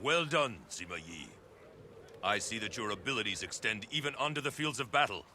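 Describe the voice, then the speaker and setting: A man's voice: on the low side, lively intonation, fairly quick, pushed and bright, a little hiss or hum. An actor playing an ambitious male warlord in a video game cutscene, speaking with authority and probing persuasion. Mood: commanding.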